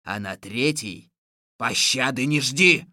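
A woman voicing a stern, menacing deathless sorcerer king in a storybook reading, with slow threatening commands. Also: extremely low pitch, expressive, sharp tone, no echo, clean studio sound, voice pushed down, full character voice.

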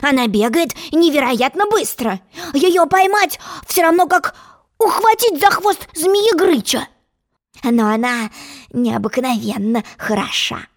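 A woman's voice: extremely high pitch, lively intonation, a little slow, voice pushed up. A woman voicing a blustering, scatterbrained king in a storybook, with pompous exclamations. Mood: indifferent, musing.

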